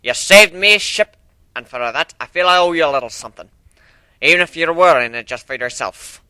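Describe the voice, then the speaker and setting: A man's voice: fairly high pitch, animated, a little fast, bright, forceful tone, clean tone, no echo, voice pushed up. A man voicing a hearty, grateful ship captain in a folksy manner for a story reading.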